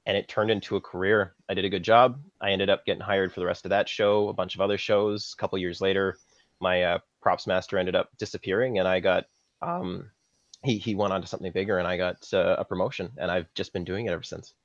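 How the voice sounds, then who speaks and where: A man's voice: low-pitched, fairly even intonation, fast. A man from Saskatchewan with a Canadian accent, chatting casually as a guest in a podcast interview. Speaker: guest, film props master. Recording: dull lo-fi audio, slightly roomy.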